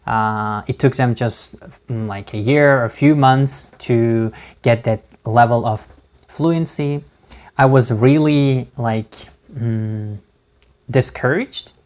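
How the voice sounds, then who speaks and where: A man's voice: mid-range voice, darkish tone. A man speaking English with a non-native accent, recounting his studies in a casual vlog, hesitant with many fillers and restarts. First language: not English. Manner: halting, many fillers and restarts, laughs between words. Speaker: vlogger. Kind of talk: casual talk to camera.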